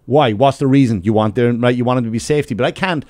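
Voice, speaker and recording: A man's voice: mid-range voice, fast, darkish tone, no room sound, clean studio sound. A man with an Irish accent telling stories casually and emphatically on a podcast, swearing freely. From Ireland. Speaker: comedian. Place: small podcast studio. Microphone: close studio microphone with headphones.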